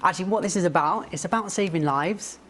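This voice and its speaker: A man's voice: sits high, fast, fairly bright tone, a little hiss or hum. A young man arguing in a studio debate, earnest and emphatic. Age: young adult. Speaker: author, debate guest.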